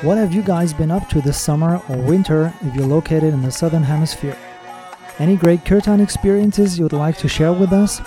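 A man hosting a podcast, reading his scripted episode introduction and news in a measured, steady way. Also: a little high, soft background music. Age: adult with children. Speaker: podcast host.

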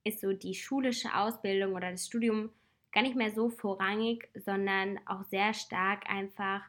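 A woman in her twenties with a slight cold talks in a relaxed, personal way. She is reflective and chatty and laughs softly now and then. Her voice sits at an ordinary pitch for a woman. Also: a little slow. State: has a cold. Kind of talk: casual personal advice talk.

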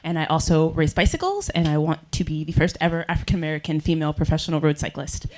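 A woman's voice: low-pitched, expressive, fast, a little mellow. A woman moderating a panel discussion in front of an audience, speaking earnestly into a handheld microphone with frequent ums. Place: event room with audience.

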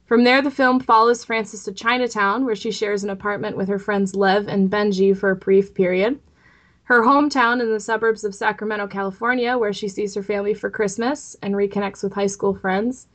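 A woman co-hosting a podcast in an informal, opinionated way, sometimes reading aloud and sometimes chatting. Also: mid-range voice. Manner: opinionated, informal, laughs easily. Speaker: podcast co-host and producer. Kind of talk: casual chat with read-out synopsis.

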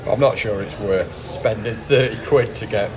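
A man's voice: fairly low pitch, moderate pace, music in the background. A man co-hosting a casual podcast chat outdoors, rambling and hesitant with frequent restarts. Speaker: podcast co-host. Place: outdoors by a canal before an away match. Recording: busy crowd noise behind. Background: crowd noise.

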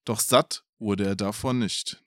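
A man's voice: fairly low pitch, fairly even intonation, a little slow, a bit bright, no echo, studio quality. A man reading a folk tale aloud expressively as a podcast storyteller, with casual asides.

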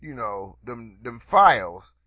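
Lively, bright-voiced man joking around. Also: takes it slowly, no echo, dull audio. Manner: loud, playful, swings to a put-on deep voice. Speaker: podcast co-host. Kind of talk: casual joking chat.

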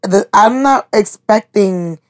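A woman's voice: mid-range voice, takes it slowly. A woman chatting casually on a podcast, frank and dismissive, with some swearing. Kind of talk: casual chat.

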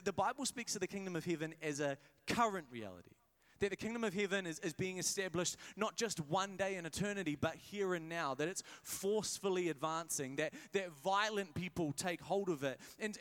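A young man preaches to his church, full of energy and quick jokes. He keeps restarting his phrases as he goes. His voice sits a little high and comes through smooth and clear. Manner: energetic, many restarts, jokey asides. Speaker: pastor. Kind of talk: sermon. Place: church service in a hired venue.

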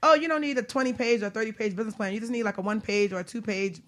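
A woman hosting a talk show and chatting casually with a guest in an informal, expressive, slangy way. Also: on the high side, racing. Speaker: talk show host and business coach. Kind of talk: casual interview chat.

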